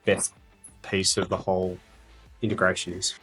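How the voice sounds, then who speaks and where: A man's voice: sits low, monotone, moderate pace, bright, forceful tone, breathy edge, rough recording. A man talking conversationally about business as an executive being interviewed. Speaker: interviewee, regional company executive. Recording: music behind the talk. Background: background music.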